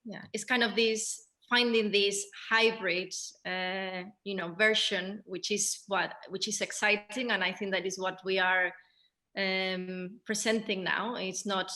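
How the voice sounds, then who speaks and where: A woman with a Spanish accent and a bright, forward voice talks about stage work in a panel discussion. Her pitch stays fairly flat, and she pauses often to think aloud as she explains her view as a director. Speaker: theatre director. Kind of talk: post-show panel discussion.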